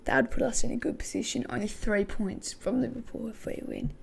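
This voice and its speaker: A woman hosting a casual football podcast, her voice croaky, thinking aloud with many hesitations. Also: on the high side, steady tone, a little fast, a little mellow, a little hiss or hum. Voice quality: gravelly. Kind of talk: casual pre-match football talk.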